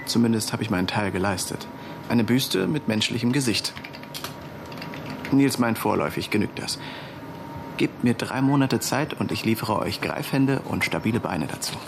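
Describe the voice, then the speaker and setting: A man's voice: fairly low pitch, lively intonation, moderate pace, creaky, noisy background. An actor playing a plain-spoken, proud male robot builder in a naturalistic radio drama. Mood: proud, stubborn. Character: stolid, proud robot builder, loyal friend.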